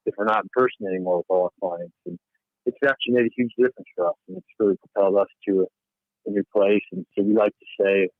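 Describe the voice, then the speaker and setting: A man's voice: lowish voice, dry recording. A man calling in over the telephone, talking conversationally as a guest sharing motivational thoughts. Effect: telephone line. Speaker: caller, financial planner. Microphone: phone call into a livestream. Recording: narrow, tinny phone line.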